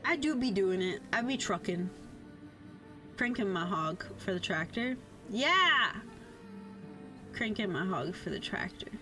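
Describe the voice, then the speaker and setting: A woman's voice: mid-range voice, very animated, measured pace, long pauses, sharp tone. A woman livestreamer chatting casually and reading out chat, playful, theatrical and sweary, with lots of slang. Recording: background music under voice. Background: music.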